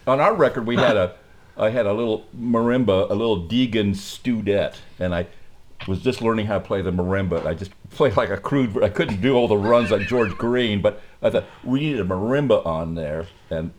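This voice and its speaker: A man's voice: fairly low pitch, normal speed, a little hiss or hum. An older man, a musician and guest, reminiscing in casual conversation.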